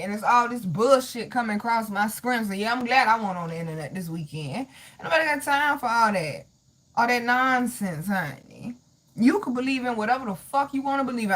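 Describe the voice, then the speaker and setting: A woman's voice: on the high side, sharp tone. A woman hosting a live tarot reading, talking bluntly and profanely in a sassy way, calling viewers honey and baby as she reads their comments. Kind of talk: casual livestream chat reading comments.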